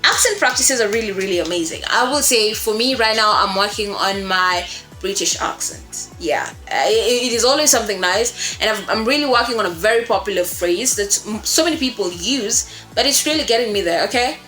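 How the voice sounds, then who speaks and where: Woman, bright forceful voice, informal instructor, encouraging, emphatic repetition, roomy sound, music underneath. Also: medium pitch, expressive, a little fast. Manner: encouraging, emphatic, often repeating phrases and checking in with "okay?". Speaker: voice-acting coach presenting a tutorial. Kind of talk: informal instructional talk to viewers. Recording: roomy echo with music behind.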